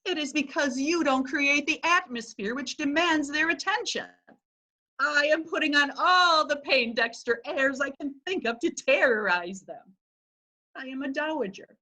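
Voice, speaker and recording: A woman's voice: sits high, bright, forceful tone, exaggerated voice, low-quality recording. A woman playing a haughty vain old dowager. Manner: haughty, imperious. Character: vain proud wealthy old society dowager. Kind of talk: readers theatre acting.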